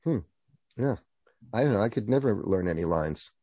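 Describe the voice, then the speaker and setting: A man's voice: lowish voice, lively intonation, moderate pace, mellow, no room sound. A male radio host interviewing a guest in a genial way, chuckling often.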